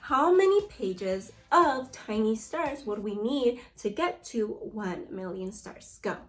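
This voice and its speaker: A woman with an American accent teaching a read-aloud lesson to young children, expressive and speaking straight to her pupils. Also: on the high side, slow pace, slight background noise. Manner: animated, expressive, addressing pupils directly. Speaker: elementary school teacher.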